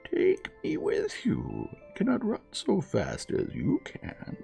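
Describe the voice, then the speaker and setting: A man voicing a persistent, pleading male frog in a fairy tale, speaking in a slow, imploring way. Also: on the high side, wildly swooping pitch, gravelly, mellow, faint music behind, voice pushed up, heavily acted.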